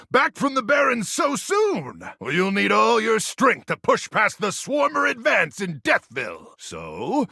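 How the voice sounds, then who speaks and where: Gravelly gung-ho microwave game hero, male, super theatrical and macho, kinda high for a tough guy, dry studio sound. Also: no room sound, heavily acted. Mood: hearty welcome. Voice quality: gravelly, husky. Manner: bombastic, theatrical swings from brooding to shouting. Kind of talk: video game character acting.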